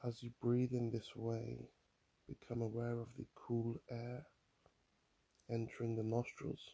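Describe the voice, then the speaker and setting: A man guiding a mindfulness meditation in a calm, soothing manner, leaving long pauses between phrases. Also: mid-range voice, gravelly, slightly rough. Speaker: mindfulness guide. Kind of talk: guided meditation, body scan.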